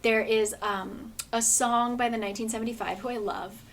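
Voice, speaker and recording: A woman with a somewhat hoarse voice, chatting earnestly about books in a casual vlog, full of rambling asides. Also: on the high side, steady tone, normal speed, slight background noise. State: hoarse, voice gone a bit. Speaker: book vlogger. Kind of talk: casual book review chat.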